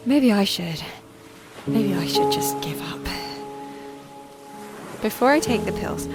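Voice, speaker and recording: A woman's voice: medium pitch, close to an everyday voice. A woman playing an injured, despairing castaway alone on an island, speaking a raw, weary diary monologue in an audio drama. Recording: music behind the voice.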